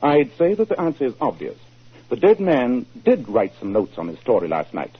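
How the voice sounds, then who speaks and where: A shrewd, husky English detective. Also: male voice, mid-range voice, huge pitch swings. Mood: musing, assured. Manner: deliberate, authoritative, deductive. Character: shrewd, self-assured male English detective, deliberate and incisive. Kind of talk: 1940s radio drama acting. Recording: muffled 1940s radio broadcast recording.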